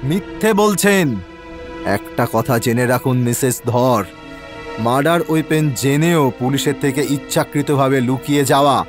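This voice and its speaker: A man playing a stern police inspector in an audio drama, forceful, accusing and authoritative. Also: mid-range voice, natural voice.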